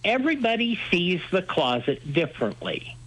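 A man's voice: high voice, sing-song, moderate pace, a bit bright, slight background noise, poor audio. A man talking enthusiastically as a radio host being interviewed, with an emphatic, folksy storytelling manner. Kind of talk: enthusiastic interview talk about old-time radio.

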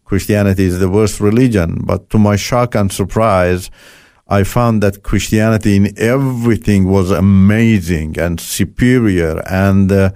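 Older man from Iran with Turkish as his first language, very low voice, dry close sound, keeps saying "you know" and "uh" as he tells his story. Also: darkish tone, no room sound. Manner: halting, with frequent "you know" and "uh" fillers. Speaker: guest. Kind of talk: interview testimony.

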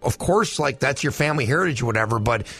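A man's voice: medium pitch, lively intonation, quick pace, a bit bright, slightly rough, faint music behind. A man co-hosting a radio show, speaking casually and agreeably, hedging his remarks. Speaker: radio co-host. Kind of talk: radio banter.

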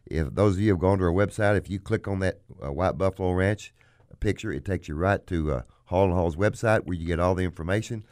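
A man hosting a radio show about auctions, a bit hoarse today, talking in a folksy, salesy way. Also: low voice, fairly quick, a little mellow, no room sound, clean studio sound. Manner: folksy, plugs his business. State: hoarse, frog in throat. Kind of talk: casual radio chat.

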